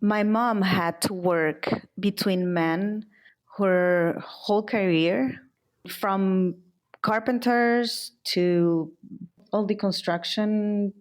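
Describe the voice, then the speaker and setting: A middle-aged woman from El Salvador, a designer, answering interview questions in a candid, unhurried way. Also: mid-range voice, steady tone. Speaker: guest, furniture and interior designer.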